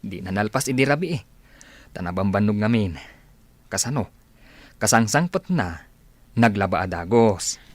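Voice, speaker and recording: A man plays an older village husband in an Ilocano radio play. His voice is somewhat low and recorded dry. He is plain-spoken and friendly, with an Ilocano accent. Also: lively intonation, moderate pace, long pauses, no echo. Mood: welcoming. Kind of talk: Ilocano radio comedy drama acting.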